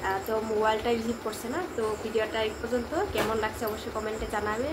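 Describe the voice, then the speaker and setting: A woman's voice: on the high side, flat delivery, fast talker. A woman vlogger chatting casually to her viewers, recorded on a phone with noise behind her. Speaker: vlogger hosting a prank call video. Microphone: phone camera.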